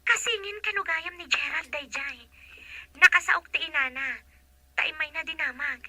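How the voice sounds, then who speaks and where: A woman's voice: high-pitched, moderate pace, sharp tone, no room sound. A woman acting an inquisitive, dutiful young Filipino daughter in an Ilocano audio drama, with a Filipino accent. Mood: explaining.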